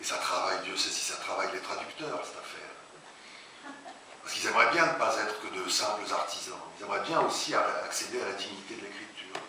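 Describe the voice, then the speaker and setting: A man, a translator and writer, being interviewed before an audience, speaking haltingly with many restarts. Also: lowish voice, gravelly, bright, forceful tone. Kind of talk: public talk interview. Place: large echoey hall, before an audience. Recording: very echoey hall, far from mic, hiss.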